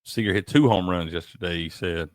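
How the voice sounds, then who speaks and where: A man's voice: low-pitched, fairly quick, no echo, clean studio sound. A man co-hosting a sports betting stream, laid-back and drawling, who ends his sentences with "man.". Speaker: co-host. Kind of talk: casual live-stream chat.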